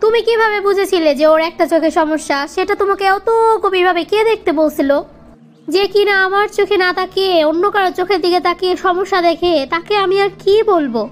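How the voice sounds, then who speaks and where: Very high-pitched sulky young girlfriend voiced by a woman. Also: fast, faint music behind. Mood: reproachful. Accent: Bangladeshi. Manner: reproachful, nagging. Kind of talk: cartoon comedy dialogue.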